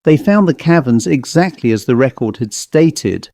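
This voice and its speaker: A man narrating a scripted story as an audiobook reader, in a measured, deliberate manner. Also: mid-range voice, animated, gravelly, warm tone, no echo, clean studio sound.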